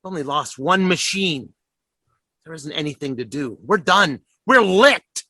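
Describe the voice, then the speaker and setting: A man's voice: on the high side, animated, a little slow, bright, forceful tone, no echo, full character voice. An actor playing a ragged militia survivor who rambles and stammers through his scheme in a radio drama. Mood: scheming.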